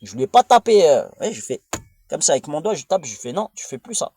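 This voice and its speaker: A man's voice: fairly high pitch, sing-song, quick pace, dark tone, breathy edge, no echo. A man from France with a French accent, delivering a rambling informal monologue in a blunt, crude, slangy way, often digressing and repeating himself. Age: adult, father of a young child. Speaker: vlogger.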